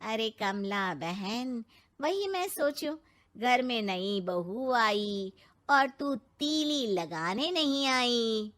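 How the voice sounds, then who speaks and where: A woman's voice: fairly high pitch, expressive, drawn-out words, fairly bright tone, full character voice. A woman playing an outspoken middle-aged mother-in-law with a blunt, exclamatory manner in a cartoon story. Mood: sardonic. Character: outspoken middle-aged Indian mother-in-law, sharp-tongued but approving. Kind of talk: cartoon moral story acting.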